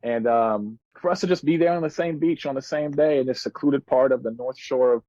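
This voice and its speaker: A man's voice: mid-range voice, a little fast, dark tone, no echo, lo-fi sound. Dry-sounding, laid-back man in his thirties. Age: 30s. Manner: laid-back slangy speech, earnest and reflective. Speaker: musician guest. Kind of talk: casual podcast storytelling.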